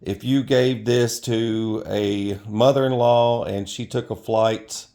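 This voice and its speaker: A man's voice: mid-range voice, fairly even intonation, takes it slowly, warm tone. A middle-aged man giving an informal, off-the-cuff product review in a rambling way, often correcting himself.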